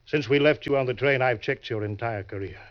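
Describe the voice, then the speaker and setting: An actor playing a suave, genial older gentleman schemer, with smooth, courtly and pompous politeness, in 1940s radio drama style. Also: medium pitch, a little fast, natural voice. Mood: smug, menacing. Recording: muffled, noisy old-time radio recording.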